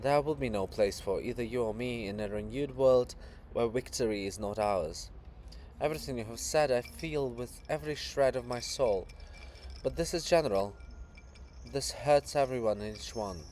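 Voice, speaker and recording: A man voicing a monument of a brooding, fatherly old poet in a stage drama, speaking in a solemn, searching, deliberate way. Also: medium pitch, darkish tone, slight background noise, lightly acted. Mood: grave, knowing.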